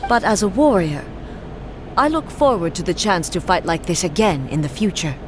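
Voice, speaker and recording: Woman doing a stern god-queen from a game, calm and formal, slow measured lines, mid-pitched, a noisy hiss behind. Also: lightly acted. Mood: solemn. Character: stern solemn female god-ruler, composed, formal. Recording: game audio under background noise.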